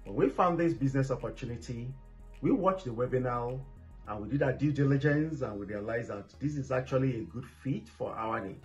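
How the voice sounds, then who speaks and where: A man giving a calm, steady testimonial on behalf of his family. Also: medium pitch, fairly quick, faint music behind. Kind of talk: personal testimonial. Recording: dull lo-fi recording.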